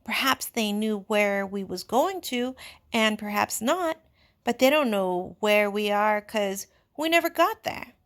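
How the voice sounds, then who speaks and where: A woman's voice: mid-range voice, expressive, a little slow, fairly bright tone. A woman voicing an anxious, bookish schoolboy in a storybook, scolding and pleading with emphasis. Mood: worried. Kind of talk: storybook character voice.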